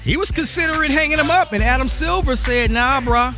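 A man's voice: very high-pitched, fairly quick. A man giving colloquial, slangy sports commentary and banter as a radio reporter. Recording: radio studio over hip hop beat. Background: hip hop music.

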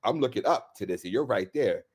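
A man's voice: on the low side, animated, fairly quick, a little mellow, husky. A man from Washington, D.C., a hip-hop journalist leading an easygoing casual conversation. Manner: easygoing, leads the talk with frequent fillers.

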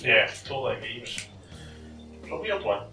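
A man's voice: on the low side, animated, normal speed, reverberant, distant mic, over music. A man co-hosting a podcast, laid-back and chuckling as he chats. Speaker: podcast co-host. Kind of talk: casual chat.

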